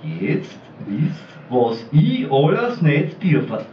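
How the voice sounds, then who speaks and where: Slow, lively man doing bawdy verse. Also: fairly high pitch, a little hiss or hum. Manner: halting, ums between bits, jokey. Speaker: slam poet. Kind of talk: comic dialect poem recital. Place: small pub stage. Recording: roomy distant lo-fi camcorder sound.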